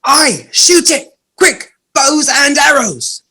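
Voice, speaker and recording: A woman playing an excitable young boy in an audio play, with a dramatic delivery. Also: sits high, slow, heavily acted. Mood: commanding.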